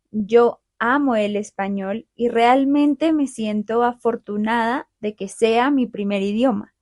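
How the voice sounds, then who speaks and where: Colombian woman, clear podcast host. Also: a little high, mellow, dry recording, studio quality. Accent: Colombian, Bogotá. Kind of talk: friendly podcast chat for Spanish learners.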